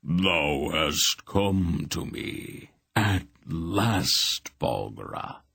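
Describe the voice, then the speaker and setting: A man voicing a rasping, gloating dark god. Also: low voice, sing-song, no room sound, clean studio sound, exaggerated voice. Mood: gloating menace. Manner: slow, drawn-out, purring menace. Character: menacing ancient male dark god, gloating. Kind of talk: audiobook character voice.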